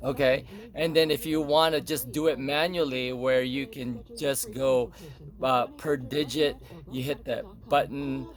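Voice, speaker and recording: A man's voice: medium pitch, fairly even intonation, unhurried. A man giving a casual, unscripted product demonstration, explaining step by step in a hesitant way.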